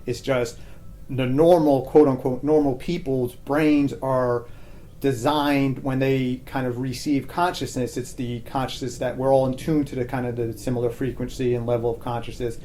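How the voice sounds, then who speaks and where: A man in a relaxed, reflective podcast conversation. His voice is mid-pitched and dark, without sharp edges. He feels his way through ideas haltingly, often rephrasing as he goes. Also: slight background noise. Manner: halting, thinking aloud, hedged phrasing. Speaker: podcast guest, teacher.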